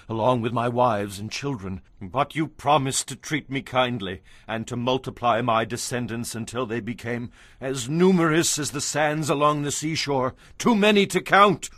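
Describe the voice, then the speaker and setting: A man's voice: mid-range voice, a bit bright, dry recording, lightly acted. A man playing an anxious, humble Hebrew patriarch in a dramatized audio Bible, speaking earnestly and deferentially. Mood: fearful, fervent.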